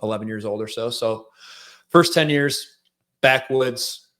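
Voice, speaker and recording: A man with a Michigan accent, a guest telling his life story in a relaxed, rambling way with lots of fillers. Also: mid-range voice, measured pace. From central Michigan. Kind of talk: casual interview storytelling.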